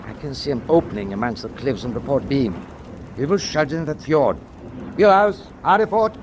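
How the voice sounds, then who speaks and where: An actor playing a resolute, defiant German tanker captain in a radio drama, commanding and decisive. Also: male voice, medium pitch, animated, normal speed, a little hiss or hum, close to an everyday voice.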